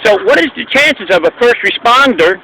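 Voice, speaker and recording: A man's voice: extremely high pitch, big pitch swings, brisk, vocal fry, pushed and bright. An American man arguing persistently in casual street talk, repeating his questions and phrases. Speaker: street interviewer and activist. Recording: noisy outdoor recording with traffic.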